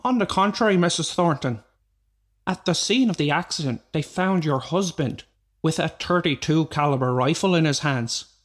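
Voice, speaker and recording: A man playing a calm, formal doctor in a radio thriller, measured and matter-of-fact. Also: a little high, close to an everyday voice. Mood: patronizing, firm.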